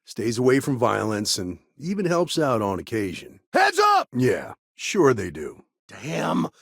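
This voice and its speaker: A man playing a guarded, world-weary frontier lawman in a video game, with a gravelly, husky voice and laconic, folksy phrasing. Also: medium pitch, huge pitch swings, unhurried, a bit bright, no echo, clean studio sound, heavily acted. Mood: urgent, forceful. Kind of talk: game dialogue lines.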